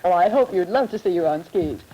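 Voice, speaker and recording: A woman moderating a 1950s television forum, asking brisk, teasing questions of student guests. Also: fairly low pitch, animated, breathy edge, dull audio.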